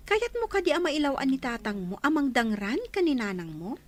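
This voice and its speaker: A woman's voice: high voice, very animated, a little fast. An actress playing an opinionated woman confidante giving advice in a radio drama. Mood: emphatic.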